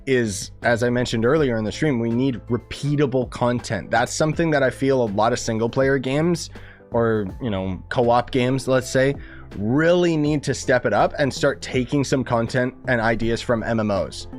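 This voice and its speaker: Male streamer, medium pitch, informal off-the-cuff gaming commentary, voice tired from coughing, music underneath. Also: moderate pace. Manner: informal, rambling, gamer slang. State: losing voice, coughing. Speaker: game live streamer.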